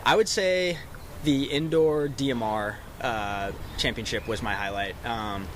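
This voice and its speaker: A man's voice: mid-range voice, unhurried. Young man athlete, casual, outdoor noise. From Texas, Dallas suburbs. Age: young adult. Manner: relaxed, many fillers and restarts. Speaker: college runner. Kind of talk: casual press interview.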